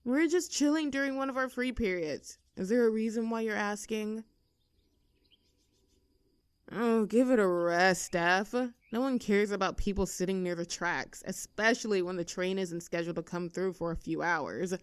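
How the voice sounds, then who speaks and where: A woman's voice: mid-range voice, normal speed, clear, resonant tone, dry recording, close to an everyday voice. A young woman playing a rebellious teenage girl bully in an audio roleplay, with a sarcastic, teasing, brash manner. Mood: sarcastic defiance. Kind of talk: scripted roleplay audio drama.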